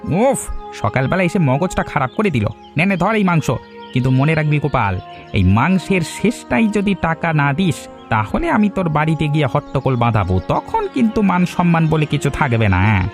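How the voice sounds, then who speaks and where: A man plays a mocking cartoon shopkeeper who bullies and scolds his customer. His delivery swoops widely in pitch and sits a little high for a man. Faint music plays behind him. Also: very animated, normal speed. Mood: irritated, threatening. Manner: sneering, scolding, rhetorical questions. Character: gruff mocking butcher shopkeeper, scornful moneylending bully. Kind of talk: cartoon voice acting.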